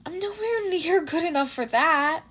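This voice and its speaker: A woman's voice: extremely high pitch, expressive, unhurried, a bit bright, pitched above the performer's own voice, full character voice. A woman voicing a shy, flustered teenage boy who rambles analytically and apologetically in a fan fiction reading.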